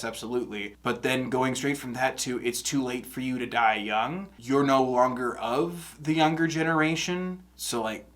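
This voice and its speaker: A man's voice: medium pitch, fairly bright tone. A young man with a congested nose, musing casually in a reaction video and rambling with many fillers and restarts. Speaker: music reaction host.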